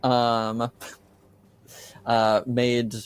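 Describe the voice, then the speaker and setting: A man narrating a tabletop game as game master, improvising and pausing often mid-sentence. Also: medium pitch, monotone, clear, resonant tone.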